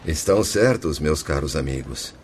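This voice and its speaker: An actor playing a penitent, anguished former merchant in a radio drama, speaking in solemn, formal, declamatory confession. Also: male voice, a bass voice, lively intonation, normal speed, slight background noise. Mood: sorrowful resignation. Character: remorseful suicide spirit, former bankrupt merchant, penitent and anguished.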